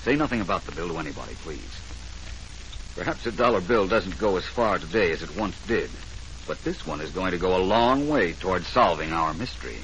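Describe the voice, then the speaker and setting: An actor playing a confident, shrewd private detective, speaking with assured, deliberate command in 1940s radio drama style. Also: male voice, low-pitched, lively intonation. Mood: firm, explaining. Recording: noisy vintage 1940s radio recording.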